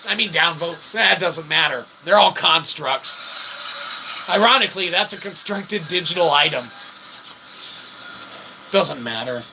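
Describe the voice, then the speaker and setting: A man with a high, creaky voice rambles to his viewers as a comic channel host. He is folksy and self-deprecating, pitching his wares with tongue in cheek and checking in with little tag questions. Noticeable noise sits behind him. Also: expressive, unhurried, pauses often. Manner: folksy, repeats "okay?" as a tag. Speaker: independent internet channel host promoting his books.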